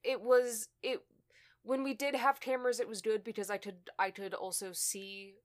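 A young woman voice actor who is a guest on a casual call, speaking haltingly with restarts through a home microphone. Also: mid-range voice, fairly even intonation, no room sound, clean studio sound. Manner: halting, many restarts, soft asides. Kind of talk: casual chat. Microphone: home mic over voice chat.

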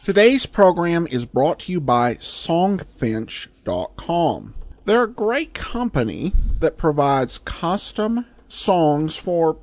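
Higher-pitched American man with lively intonation. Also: takes it slowly, no room sound. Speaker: podcast host. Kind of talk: scripted podcast introduction and sponsor read.

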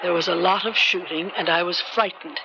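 A woman's voice: on the low side, fairly even intonation, brisk, close to an everyday voice. An actress playing a guarded, insistent woman on a secret mission in a 1970s radio drama, earnest and defensive. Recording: muffled, noisy 1970s radio recording.

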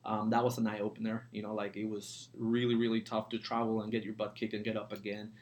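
A man's voice: fairly low pitch, flat delivery, fast, darkish tone. A man in his forties from Mexico, talking casually as an interview guest and entrepreneur on a podcast. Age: 40s.

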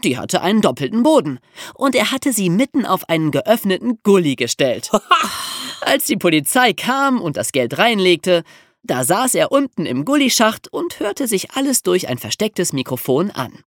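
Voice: medium pitch, sing-song, moderate pace, no echo, clean studio sound. A podcast host telling true crime stories for young listeners in an amused, colloquial way.

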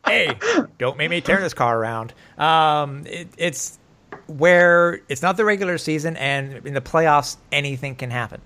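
A man's voice: a little high, unhurried, sharp tone. A man hosting a casual sports podcast, rambling with many fillers and poking fun at himself. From English-speaking, living in Montreal. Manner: rambling, filler-heavy, self-deprecating. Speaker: podcast host.

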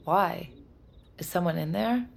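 An anxious young American woman in a naturalistic audio drama. Her low voice has a marked creak, and she talks bluntly and emotionally, like a lover who cannot believe what she hears. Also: measured pace, a little hiss or hum. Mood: puzzled.

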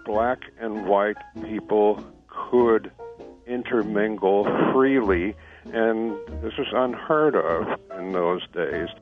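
A man giving conversational interview commentary as a historian, halting and repeating words. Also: lowish voice. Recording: phone-like narrow band, faint music.

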